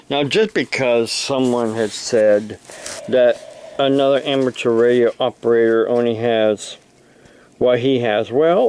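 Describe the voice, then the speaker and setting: A man from the United States giving an unscripted explanation to camera, speaking haltingly in short phrases with long pauses between them. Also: medium pitch, darkish tone, poor audio. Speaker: amateur radio operator and preacher making a video.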